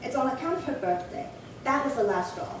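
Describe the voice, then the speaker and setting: A woman's voice: sits high, animated, fairly quick. A woman playing a resentful, defiant seventy-nine-year-old spinster in an amateur old-time radio crime drama, querulous and emphatic. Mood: aggrieved. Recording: roomy echo, distant mic, faint hiss.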